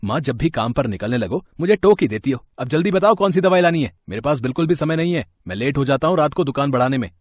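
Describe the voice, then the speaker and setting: An actor playing an irritable, selfish husband in a storybook family drama, husky-voiced, impatient and insistent. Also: male voice, medium pitch, animated, fast, hardly any pauses, no echo, natural voice. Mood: impatient, snapping.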